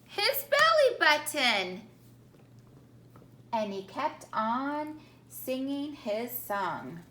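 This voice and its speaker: A woman reading a children's picture book aloud like a teacher, in a deliberate, expressive manner, putting questions to young listeners. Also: high-pitched. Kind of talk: animated children's storybook read-aloud. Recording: echoey room with faint background noise.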